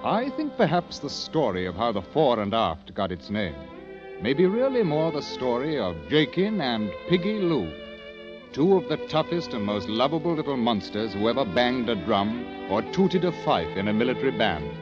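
Dull vintage radio, actor as expressive correspondent. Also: male voice, mid-range voice, moderate pace, lightly acted. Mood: storytelling, grave. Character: British war correspondent recounting army tales, wry and fond. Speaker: narrator-actor. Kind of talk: 1940s radio drama narration. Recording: dull old radio broadcast with music.

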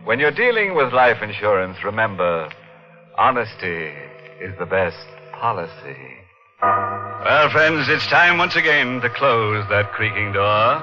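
Slow, ghoulish male horror host. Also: fairly low pitch, very animated, sharp tone, faint music behind, heavily acted. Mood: gleefully macabre. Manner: sardonic, punning, drawn-out delivery. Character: ghoulish, gleefully macabre horror-show host. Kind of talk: 1940s radio horror hosting. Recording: muffled old radio recording.

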